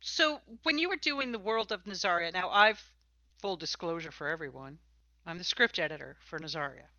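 A woman's voice: fairly low pitch, normal speed, pushed and bright, no room sound. A woman hosting a podcast, chatting and interviewing in an informal, teasing, encouraging way. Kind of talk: casual conversational interview. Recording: slightly dull, lo-fi podcast audio.

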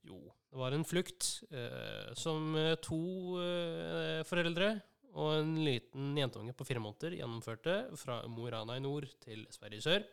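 A man hosting a casual podcast, often pausing mid-sentence as he talks. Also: a little high, expressive, creaky, clean tone. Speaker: podcast host. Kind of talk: casual conversational podcast chat.

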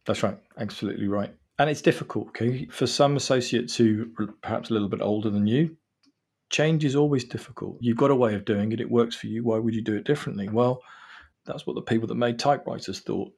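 A man's voice: medium pitch, fast talker, vocal fry, darkish tone, breathy edge. A man from England in his forties, a guest on an interview podcast, chatty and self-deprecating as he gives advice and tells stories.

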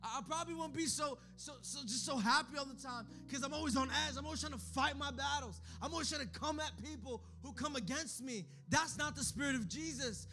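A young man preaching a sermon, moving from hushed, close talk to loud, shouted calls to the crowd. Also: very high voice, brisk, few pauses. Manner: shifts between hushed intimacy and shouted exhortation. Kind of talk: energetic sermon. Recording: faint music behind.

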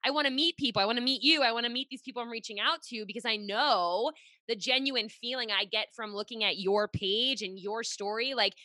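A woman's voice: on the high side, brisk, sharp tone, no echo. A woman hosting a podcast in a casual, effusive chat, full of "like" fillers. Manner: chatty, effusive, lots of "like" fillers. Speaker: podcast host.

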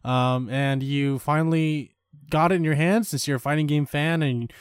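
A man's voice: fairly high pitch, moderate pace, clean tone, no room sound, clean studio sound. A man co-hosting a podcast, chatting in an easygoing way with lots of fillers and upbeat asides. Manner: easygoing, filler-heavy, enthusiastic. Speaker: podcast co-host. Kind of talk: casual chat.

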